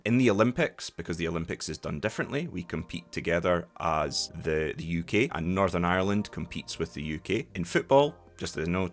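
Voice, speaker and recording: Scottish male podcast host, very low voice, patient explainer who restarts sentences. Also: a bit bright. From Scotland. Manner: explanatory, with frequent restarts and examples. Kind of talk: solo educational podcast talk.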